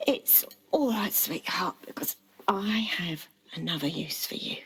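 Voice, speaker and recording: An actress playing a ruthless London crime boss in a video game, talking with mock affection and condescension. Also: female voice, on the low side, measured pace, fairly bright tone, breathy edge, exaggerated voice. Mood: cruel, condescending. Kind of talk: dramatic video game acting.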